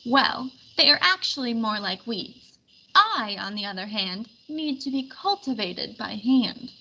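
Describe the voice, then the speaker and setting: A woman's voice: on the high side, expressive, unhurried, sharp tone, muffled recording, a little hiss or hum, full character voice. A woman voicing a fussy, determined little female daisy in a storybook, complaining in an emphatic, particular way. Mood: matter-of-fact, proud.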